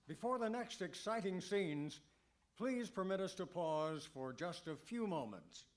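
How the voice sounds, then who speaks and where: An actor playing a formal, theatrical old-time radio serial announcer. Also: male voice, high-pitched, expressive, measured pace. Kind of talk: 1940s radio announcing, scripted narration.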